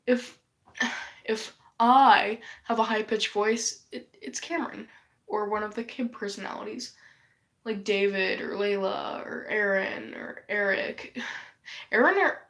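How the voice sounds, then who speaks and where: Slow, sighing woman losing her voice. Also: mid-range voice, fairly bright tone. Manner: sighing, rambling, swears casually. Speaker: vlogger. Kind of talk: rambling casual vlog chat.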